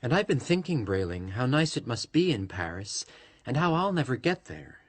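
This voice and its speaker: Sentient android double: husky, highly inflected, resentful and lovesick, quietly menacing radio drama villain. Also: male voice, sing-song, normal speed. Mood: brooding.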